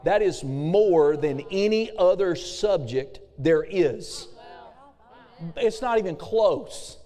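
Slightly hoarse man preaching in a big hall. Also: high-pitched, expressive, slow, mellow. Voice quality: a little raspy. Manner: fervent preaching with frequent amens. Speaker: pastor. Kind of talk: sermon. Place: church sanctuary. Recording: long hall reverb.

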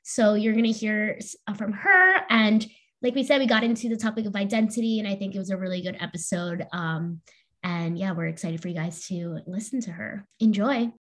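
A woman's voice: medium pitch, fairly quick, a bit bright, a little hiss or hum. A young adult American woman chatting casually as a podcast co-host. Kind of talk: casual chat, introducing a guest.

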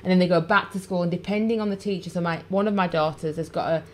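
A woman's voice: fairly low pitch, fairly even intonation, fast talker, a little hiss or hum. A woman with a Yorkshire accent, a guest and vlogger chatting casually and rambling a little. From England. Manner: chatty, rambling, laughs easily. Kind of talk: casual chat.

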